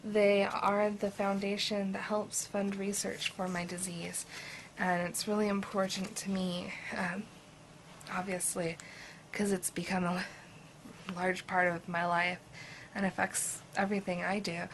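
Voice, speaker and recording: A woman's voice: medium pitch, flat delivery, unhurried, a little hiss or hum, low-quality recording. A woman hosting a personal video, explaining in a plain, earnest way to camera. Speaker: video host, patient advocate.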